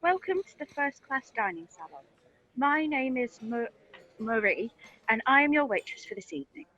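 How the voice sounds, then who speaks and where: A woman's voice: high voice, expressive, moderate pace, pushed and bright, highs cut off, full character voice. A woman playing a cheery, slightly dim waitress in a comic radio play. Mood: formal announcing.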